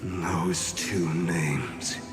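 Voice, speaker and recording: Deep, echoing, weary warrior. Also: male voice, steady tone. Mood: weary, grim. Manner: slow, halting, somber. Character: weary, forgetful, grim male warrior bearing a burden. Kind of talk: dramatic video game voice acting. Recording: long hall reverb, music behind.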